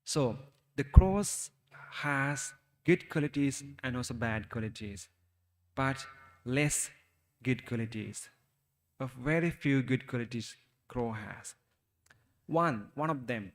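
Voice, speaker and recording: A man with a Sri Lankan accent, a Buddhist monk giving a talk to young listeners, speaking haltingly and asking them questions. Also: mid-range voice, expressive, in a large hall. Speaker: Buddhist monk teaching youth. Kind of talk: Dhamma talk for children.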